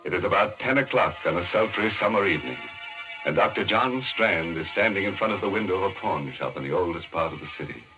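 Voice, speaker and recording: A man with a very low voice hosts a vintage radio mystery, with music behind him. He speaks slyly and theatrically, with macabre playfulness. The sound is dull and old. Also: expressive, fast. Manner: ghoulishly playful, sly, theatrical. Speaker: host and narrator of a mystery show. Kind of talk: 1940s radio horror hosting, theatrical narration.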